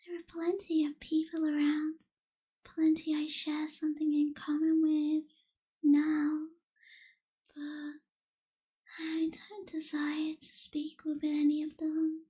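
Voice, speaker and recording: A woman playing a lonely, newly turned young female vampire in a soft, breathy, whispered ASMR roleplay, wistful and gentle. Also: high voice. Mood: lonely, hesitant. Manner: slow, hushed, wistful, gentle pauses.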